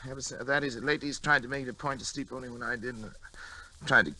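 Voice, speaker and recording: An actor playing a tormented, desperate scientist in 1940s radio drama style, with a halting, stammering, overwrought delivery. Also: male voice, medium pitch, fairly even intonation, pushed and bright, a little hiss or hum. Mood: uneasy, bewildered. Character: tormented, desperate scientist, married man and father. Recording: 1940s radio recording with music.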